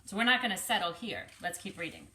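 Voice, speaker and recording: Animated woman reading aloud, bright voice. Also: mid-range voice, quick pace, rough recording. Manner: teacherly, explains and asks the listener questions. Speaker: speech-language pathologist reading aloud to children. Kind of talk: expressive picture-book read-aloud with vocabulary teaching.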